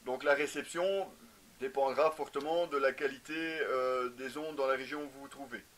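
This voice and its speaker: A man's voice: mid-range voice, steady tone, normal speed, fairly bright tone. A man in his thirties giving a casual product review to camera in a garage, explaining with frequent hesitations. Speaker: motorcycle gear reviewer. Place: indoor garage with shelves.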